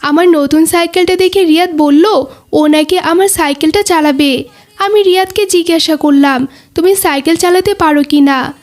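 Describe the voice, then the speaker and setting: A woman voicing a polite, dutiful schoolboy in a cartoon, explaining things earnestly. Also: very high voice. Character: dutiful, polite, well-behaved schoolboy friend. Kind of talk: cartoon dialogue.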